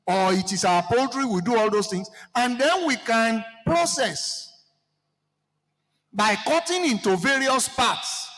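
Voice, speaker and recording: A Nigerian man with a Yoruba-speaking accent speaks high and unhurried, throwing rhetorical questions at the crowd. His voice rings through the long reverb of a large hall. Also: lively intonation, fairly bright tone. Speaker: seminar participant speaking to the floor. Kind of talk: impassioned address to a seminar audience.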